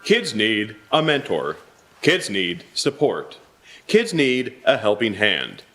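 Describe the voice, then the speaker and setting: A man's voice: lowish voice, wildly swooping pitch, slow pace, fairly bright tone, slight background noise. An American man reading a scripted sponsor message as an announcer. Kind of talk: scripted advertisement read.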